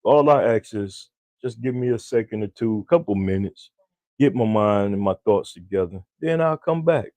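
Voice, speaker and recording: A deep, low-pitched man co-hosting a call-in podcast in a relaxed, easygoing way, often speaking to listeners directly. His tone is dark and mellow, and the sound is dry and close. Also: moderate pace, no room sound. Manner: laid-back, talks straight to listeners as y'all. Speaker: podcast co-host. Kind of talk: casual chat with listeners.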